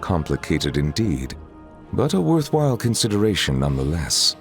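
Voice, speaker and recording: Give this game character a low, expressive male voice: a grave chief justice who weighs every word formally. He is aloof and judicious, with deliberate, measured delivery and music underneath. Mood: considering, restrained. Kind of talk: scripted video game character lines.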